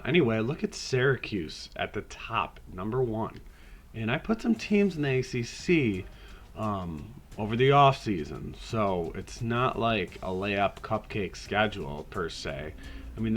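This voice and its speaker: Raspy, low-voiced man talking video games. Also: animated, a little slow. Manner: laid-back, rambling, swears casually. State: hoarse, getting over a cold. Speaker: podcast host. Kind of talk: casual video game commentary.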